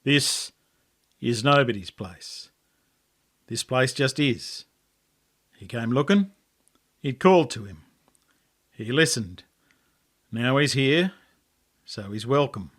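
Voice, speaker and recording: A man voicing a calm, wise old grandfather in a story, with an Australian accent and terse, grave, plain statements. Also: mid-range voice, takes it slowly, long pauses.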